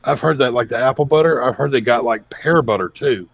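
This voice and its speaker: A man joining a casual chat and agreeing warmly. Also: medium pitch, brisk, vocal fry, muffled recording.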